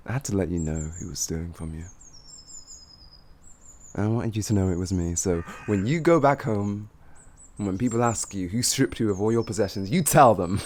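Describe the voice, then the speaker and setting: A man playing a cocky, flirtatious highwayman who teases and gloats in an improvised fantasy roleplay spoken to the listener. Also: a little mellow, a little hiss or hum, close to an everyday voice. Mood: gloating. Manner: teasing, gloating, chuckling, improvised hesitations.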